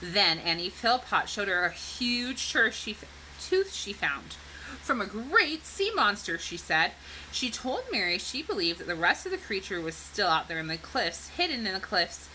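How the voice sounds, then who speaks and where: A woman's voice: medium pitch, very animated, normal speed, bright, forceful tone, slight background noise, rough recording. A woman reading a children's book aloud expressively, with dramatic emphasis and a teacherly way of explaining. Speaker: storybook reader.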